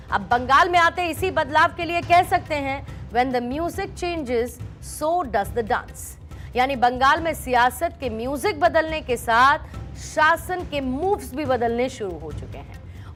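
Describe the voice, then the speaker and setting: A woman's voice: high-pitched, bright, forceful tone. A woman reading scripted broadcast news as an anchor, with emphatic, presentational delivery. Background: background music under the voice.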